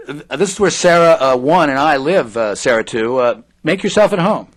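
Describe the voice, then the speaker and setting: An actor playing a hesitant, smitten reporter in an audio drama, with a halting, stammering delivery. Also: male voice, on the high side, animated, no echo, close to an everyday voice. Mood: flustered. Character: hesitant, smitten male reporter living with his girlfriend.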